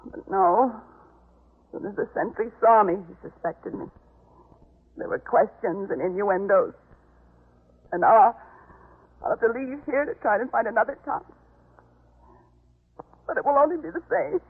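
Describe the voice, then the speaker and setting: An actress playing an anxious, penitent outcast woman in a 1950s radio drama, speaking haltingly and emotionally in a breathy voice. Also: a little high, expressive, exaggerated voice. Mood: distressed, despairing. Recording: muffled, narrow-band 1950s radio recording.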